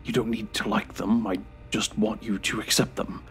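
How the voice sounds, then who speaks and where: An actor playing a frail, bitter, remorseful old grandfather in an audio drama, halting and irritable, sometimes hushed and breathy. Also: on the low side, level tone, natural voice. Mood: regretful, bitter.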